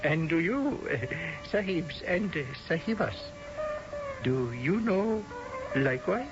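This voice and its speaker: An actor playing an old-fashioned Indian storyteller in a radio drama, speaking in a halting, courteous, drawn-out way. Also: male voice, sits high, animated, muffled recording. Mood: mysterious, knowing. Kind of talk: 1970s radio drama acting. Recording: band-limited old radio broadcast, music behind.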